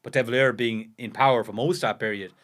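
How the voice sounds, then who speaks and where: A man's voice: mid-range voice, steady tone, fairly bright tone. An Irish man co-hosting a history podcast, talking in casual conversation with frequent restarts and fillers. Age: under 50. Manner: fast, halting, many restarts and "you know". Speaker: podcast co-host.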